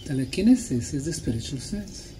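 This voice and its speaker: A man giving a public talk in short, deliberate, emphatic phrases, pausing often for an interpreter. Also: fairly high pitch. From Bosnia. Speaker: lecturer. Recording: clear steady background noise.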